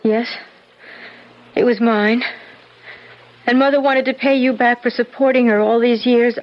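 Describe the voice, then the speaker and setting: An earnest young daughter, played by a radio actress, speaks in a fairly high voice. The old radio drama sounds dull and boxed in. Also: female voice, fairly even intonation, measured pace, lightly acted. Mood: earnest, defensive. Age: young adult. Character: earnest eighteen-year-old daughter, loyal to her mother. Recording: muffled old radio broadcast.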